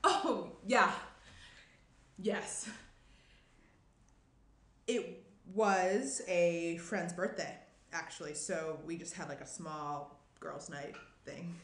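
A woman's voice: fairly low pitch, extremely slow, pauses often, a bit bright, exaggerated voice. A young woman playing a flustered, distracted character, hesitant and apologetic, in a table read. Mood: flustered, polite. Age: young adult. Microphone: desk microphone. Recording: roomy indoor echo.

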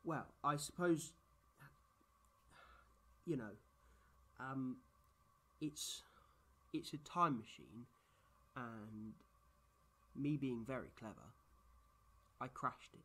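A man's voice: mid-range voice, extremely slow, pauses often, bright, forceful tone, breathy edge, full character voice. A man playing an eccentric, playful time-travelling alien in a fan audio drama, rambling and boastful with whimsy. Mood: cheerful, rambling. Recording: noisy track with background ambience.

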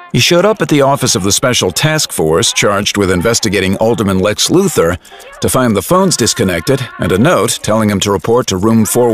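A man narrates an audio drama in a lowish voice. His scripted storytelling is vivid and animated, with music clearly playing behind him.

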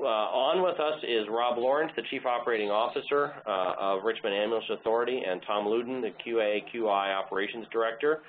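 A faintly noisy, narrow phone line carries a fairly low-voiced American man. He hosts a webinar informally. He talks haltingly and casually, with a light teasing manner. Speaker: webinar host. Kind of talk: informal introduction. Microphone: phone or web conference line.

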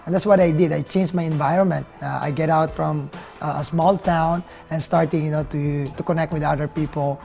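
A man in his thirties from the Philippines with a Filipino accent, telling his own story in an interview, earnest and halting with many fillers. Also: on the high side, steady tone, gravelly. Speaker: nurse turned network marketer. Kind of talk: interview testimonial. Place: living room, seated on a leather couch. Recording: soft music under the voice.

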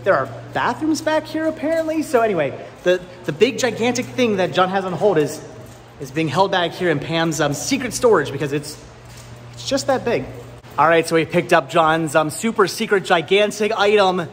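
A man in his thirties hosting a casual vlog, chatting enthusiastically and rambling with halting, repeated words. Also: sits high, animated, a little hiss or hum. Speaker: vlog host. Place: large indoor flea market and warehouse loading area. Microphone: handheld camera at arm's length.

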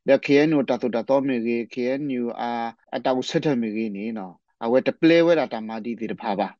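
A man's voice: medium pitch, fast, darkish tone, no echo. A man speaking off the cuff in spontaneous commentary on a radio programme. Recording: slightly muffled shortwave radio programme audio.

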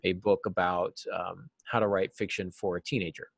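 A middle-aged man with a fairly low voice explains things conversationally as an interview guest. The sound is dry, with very little room to it, as he talks on a video call like a teacher. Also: little pitch movement, normal speed, vocal fry, dry recording. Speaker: guest, novelist and writing teacher. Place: small office, indoors.

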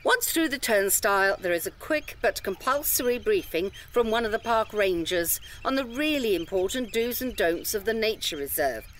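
A woman's voice: mid-range voice, expressive, moderate pace, few pauses, slight background noise, lightly acted. A woman playing a polite, patient tour guide giving instructions to day trippers in an audio drama. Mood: instructive, cautioning. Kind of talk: audio drama acting, public briefing.

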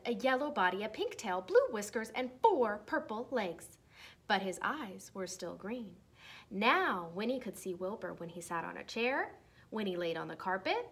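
Young female storyteller, picture-book read-aloud for children, expressive and deliberate phrasing, mid-range voice. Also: mid-range voice, slightly rough. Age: young adult. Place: small decorated room indoors.

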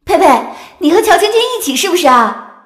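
A woman's voice: very high-pitched, big pitch swings, fairly quick. A woman playing an eager, chirpy young former classmate in an audiobook drama. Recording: echoey effect over faint music.

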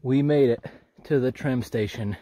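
Homem comentando enquanto anda na floresta, voz média, câmera na mão, jeito relaxado. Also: male voice, mid-range voice, unhurried. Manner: relaxed, observant, thinking aloud. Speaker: hiker filming a walking tour. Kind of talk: casual walk-and-talk narration. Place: outdoors on a forest trail. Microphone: handheld camera.

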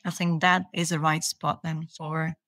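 A woman's voice: low-pitched, flat delivery, unhurried, fairly bright tone, no room sound, studio quality. A woman from Belgium, a procurement manager, answering interview questions with enthusiasm and earnest small restarts.

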